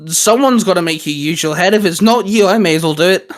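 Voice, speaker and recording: A man's voice: high voice, fast talker. A man co-hosting a casual, geeky group discussion, jokey and informal, often probing with questions. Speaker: co-host of a fan discussion show.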